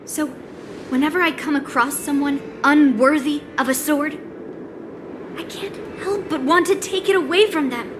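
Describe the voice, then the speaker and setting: Woman as a high-voiced, blunt girl sword fighter. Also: moderate pace, background noise, lightly acted. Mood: resolute. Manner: blunt, self-assured. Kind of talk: video game cutscene acting.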